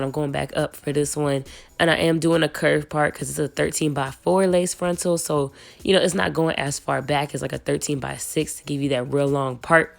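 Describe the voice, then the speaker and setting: A young woman, hoarse from a cold, chatting casually to viewers as she walks through a wig install. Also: low voice. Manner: casual and chatty, slangy, addresses viewers as y'all. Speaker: beauty vlogger. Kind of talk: casual wig tutorial chat.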